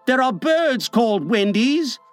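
A man voicing excitable, wide-eyed young boys in a storybook, eager and exclamatory. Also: very high-pitched, measured pace, faint music behind, pitched above the performer's own voice. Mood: awestruck. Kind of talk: storybook character acting.

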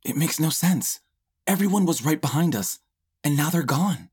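A bitter, hotheaded teenage boy who speaks in a hushed, raised voice, as a male narrator puts him on. The tone is very rough and breathy, with a widely moving pitch. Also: lively intonation, moderate pace.

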